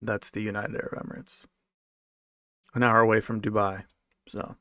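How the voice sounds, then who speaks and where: A man's voice: on the low side, flat delivery, moderate pace, bright, forceful tone, clear, resonant tone, no room sound, clean studio sound. An American man talking casually off the cuff as he answers viewers' comments, rambling a little and repeating his phrases. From United States, raised moving around in a military family.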